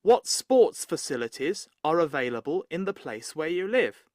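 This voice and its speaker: Recorded exam question voice, lively up and down pitch, dead dry sound. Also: normal speed, no echo.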